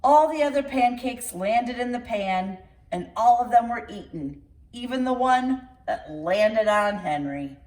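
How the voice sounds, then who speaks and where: A woman reading a picture book aloud to children in an expressive storytime style, with playful asides to her young listeners. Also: fairly high pitch, a little slow. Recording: roomy echo, distant microphone.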